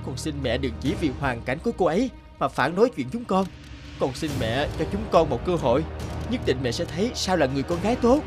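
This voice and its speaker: A man playing an earnest young villager, a devoted son and suitor, speaking respectfully in an animated folk tale. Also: fairly high pitch, brisk, music in the background. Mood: earnest pleading. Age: young adult.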